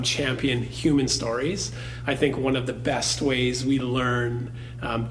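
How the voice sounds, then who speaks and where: A man's voice: medium pitch, slight background noise, low-quality recording. A man hosting a podcast, who welcomes his guest in an upbeat, enthusiastic way and uses plenty of fillers. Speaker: podcast host. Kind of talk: podcast interview.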